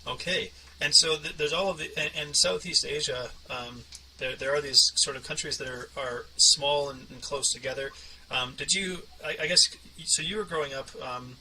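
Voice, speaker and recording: A man's voice: fairly high pitch, moderate pace, sharp tone, far from the mic, slight background noise, low-quality recording. A man hosting an interview podcast and talking conversationally with a guest. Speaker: podcast host and coach.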